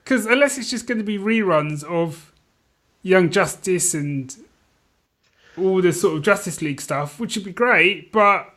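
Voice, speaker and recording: Podcast co-host: male, voice a touch high, casual slangy chat, animated storyteller. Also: measured pace. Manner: animated retelling, slangy, peppered with "you know what I mean". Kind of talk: casual chat about TV shows.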